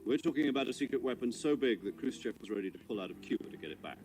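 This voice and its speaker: An actor playing a composed intelligence commander in a video game, giving a grave, measured and formal briefing. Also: male voice, mid-range voice, lively intonation, background noise, natural voice. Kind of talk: dramatic scripted mission briefing in a video game cutscene. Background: music.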